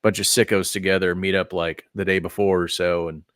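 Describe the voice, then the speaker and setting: A man's voice: low voice, flat delivery, a little fast, fairly bright tone, no room sound, clean studio sound. A man from Texas hosting a casual chat podcast, rambling with lots of fillers and restarts. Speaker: podcast host. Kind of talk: casual chat about wrestling and gaming.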